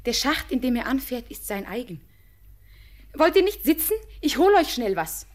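An actress playing a young village woman who talks softly and earnestly in a classic radio play. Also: sits high, very animated, moderate pace. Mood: caring. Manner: soft, earnest, sometimes whispered.

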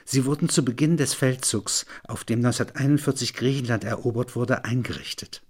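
A man reading literary narration with measured, deliberate phrasing. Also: medium pitch, darkish tone, husky.

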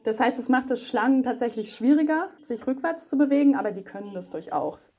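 A woman's voice: high-pitched, expressive, brisk, dark tone. A woman, an animal expert explaining things to children conversationally and matter-of-factly.

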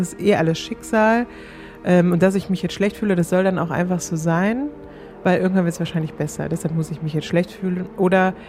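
A woman's voice: fairly low pitch, a little mellow. A woman recounting a personal experience in a casual, candid, self-reflective and colloquial way. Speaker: interviewed coaching client telling her experience.

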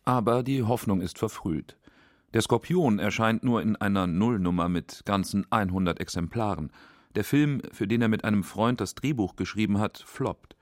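A man's voice: on the low side, moderate pace, a little mellow, dry recording, studio quality. A man narrating a scripted radio documentary in a factual, composed way.

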